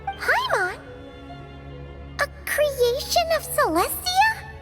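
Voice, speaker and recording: A woman's voice: very high-pitched, big pitch swings, takes it slowly, a bit bright, faint music behind, heavily acted. A woman voicing a tiny floating fairy companion who talks about herself in the third person in a game cutscene. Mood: curious. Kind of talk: video game cutscene voice acting.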